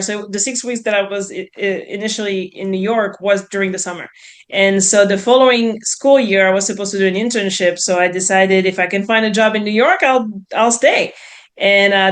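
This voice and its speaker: A woman's voice: medium pitch, fairly bright tone. Chatty French-accented woman in her thirties. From France. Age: 30s. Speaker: podcast guest, real estate investor. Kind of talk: casual interview storytelling.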